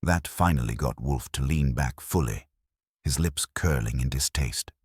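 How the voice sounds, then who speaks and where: A man's voice: rumbling low, measured pace, darkish tone, breathy edge, no room sound, studio quality. A man narrating a first-person hardboiled mystery in a wry, wisecracking manner.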